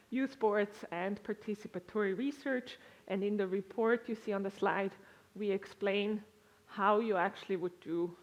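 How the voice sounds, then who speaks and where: Woman conference speaker, Swiss-tinged accent, middle pitch, relaxed delivery full of fillers. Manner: conversational, with frequent "uh" and restarts. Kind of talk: presentation with slides to a live audience. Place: lecture room with an audience.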